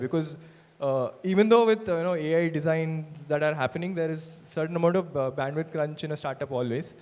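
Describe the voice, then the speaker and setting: Man, performance marketer, hesitant with lots of fillers, slightly high voice, reverberant hall. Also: a little mellow. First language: not Hindi. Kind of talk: stage talk. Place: large event hall.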